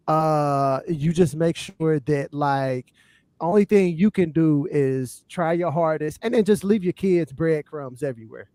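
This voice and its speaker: A man's voice: fairly high pitch, darkish tone. A man co-hosting a podcast, giving his opinions in a slangy, earnest, conversational way. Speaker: podcast co-host. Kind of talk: casual chat, giving opinions.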